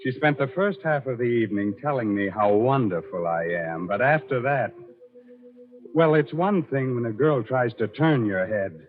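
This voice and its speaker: A man's voice: medium pitch, lively intonation, normal speed, close to an everyday voice. An American man playing a creepy, sardonic horror host on 1940s radio, wry and theatrical in his delivery. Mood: ghoulish, wry. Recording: muffled 1940s radio broadcast with music.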